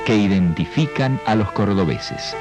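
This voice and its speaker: A man reading scripted documentary narration in a formal, measured way. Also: lowish voice, expressive, loud music behind the voice. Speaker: documentary presenter.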